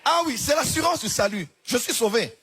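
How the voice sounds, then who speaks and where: A man with a Central African French accent, preaching a sermon in a singsong cadence that climbs into shouts. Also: very high voice, expressive, a little fast.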